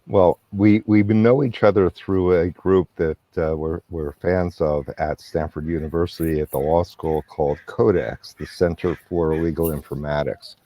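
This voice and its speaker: Older man, very low voice, dry sound, halting self-introduction on a video call. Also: no echo. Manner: relaxed, halting with repeated words. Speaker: lawyer and lecturer. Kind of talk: conference presentation. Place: outdoors under a pergola.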